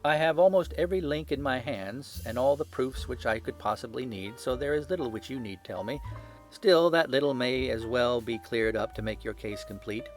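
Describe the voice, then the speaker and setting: A man playing a confident gentleman detective in an audiobook, speaking with brisk authority and dry wit. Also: medium pitch, soft background music, lightly acted. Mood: commanding. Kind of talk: audiobook character acting.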